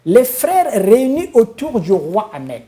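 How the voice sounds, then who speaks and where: A man answers a TV interviewer as if he were preaching from a pulpit. His tone is dark and mellow, and he speaks solemnly and with emphasis. He often pauses on drawn-out fillers before pressing on. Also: very high voice, wildly swooping pitch. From Congo-Brazzaville. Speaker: spiritual leader and TV guest. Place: TV studio.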